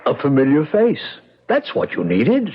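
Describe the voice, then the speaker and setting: A man's voice: a little high, huge pitch swings, unhurried, breathy edge, full character voice. An actor playing a middle-aged male ballet star, short-tempered yet protective, in a 1970s radio drama. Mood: soothing. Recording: muffled 1970s radio broadcast recording.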